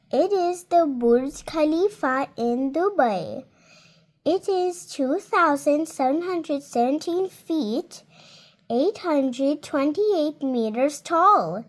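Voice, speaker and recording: High-voiced seven-year-old girl reading aloud. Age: child, 7 years old. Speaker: child reader. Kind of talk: read-aloud of a children's nonfiction book with spontaneous comments.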